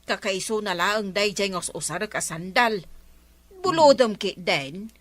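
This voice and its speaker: A woman's voice: mid-range voice, lively intonation, a little slow, a bit bright, dry recording. A woman with an Ilocano accent playing an exasperated, complaining village wife in a radio comedy drama. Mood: grudging.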